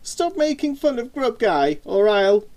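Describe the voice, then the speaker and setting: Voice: medium pitch, animated, unhurried, voice pushed up, natural voice. A narrator voicing a stubborn, defensive young boy in a children's story. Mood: indignant. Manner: defensive, bossy, indignant. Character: stubborn, whiny young boy, superhero fan.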